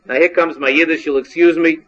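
High-voiced Hungarian-born man teaching Torah. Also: steady tone, brisk, fairly bright tone. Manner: translates Hebrew phrase by phrase, repeats and restarts. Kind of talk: text-based Torah lesson. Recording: dull lo-fi recording.

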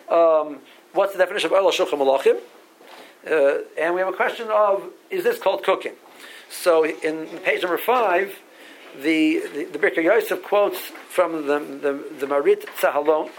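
A man's voice: fairly high pitch, a little hiss or hum, poor audio. A man from Barbados giving a halachic lecture, often repeating words as he works through sources and reading out Hebrew legal terms. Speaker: rabbi teaching a Talmud class.

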